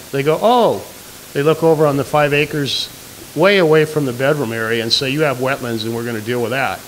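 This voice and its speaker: A man's voice: mid-range voice, fairly bright tone. An older man stands at an audience mic in a community hall and presses a candidate with his question. He is insistent and keeps returning to his point. Faint hiss runs under the recording. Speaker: audience member asking a question. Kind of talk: questioning at a public forum.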